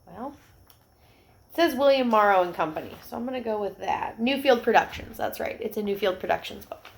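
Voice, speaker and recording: A woman reads a picture book aloud for children. She narrates at a mid pitch and steps out of the story to talk directly to young listeners. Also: animated, brisk, vocal fry.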